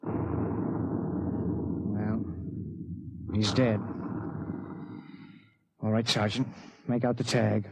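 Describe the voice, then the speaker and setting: A man's voice: lowish voice, flat delivery, extremely slow, gravelly, long pauses, a little mellow, full character voice. A man acting in a 1950s radio drama as a somber army doctor. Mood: grave. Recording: dull, muffled 1950s radio recording.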